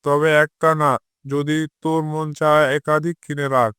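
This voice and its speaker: Clear, higher-pitched caring father played by a man. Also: monotone, normal speed, fairly bright tone, no echo, clean studio sound, lightly acted. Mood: approving, reflective. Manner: familiar, fatherly. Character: caring, thoughtful father of a schoolboy. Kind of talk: scripted cartoon dialogue.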